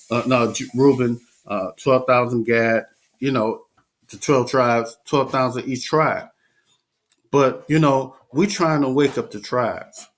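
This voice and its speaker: A man reading scripture aloud and preaching in a casual talk, stumbling, repeating words and filling gaps with "uh". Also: mid-range voice. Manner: halting, many repeats and fillers.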